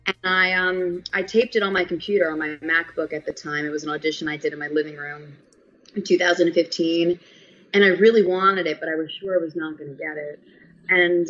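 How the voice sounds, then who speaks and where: A woman in her thirties with a heavy New York accent talks casually, with plenty of restarts and small laughs. Her voice sits a bit low for a woman. The sound is dull and lo-fi. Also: fairly bright tone. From New York, Staten Island. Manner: chatty, many restarts, laughs easily. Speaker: actress, guest. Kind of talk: casual interview chat.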